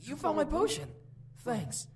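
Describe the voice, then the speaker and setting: Woman voicing a cheerful, high young demon boy. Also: big pitch swings, unhurried, a little hiss or hum. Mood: playful.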